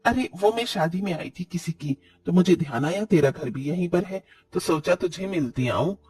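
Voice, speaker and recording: A man's voice: sits high, animated, moderate pace, a little mellow, slightly rough, distant mic. Man playing a bossy, fault-finding older aunt. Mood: breezy, nosy. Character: demanding older visiting aunt, a fussy, entitled woman.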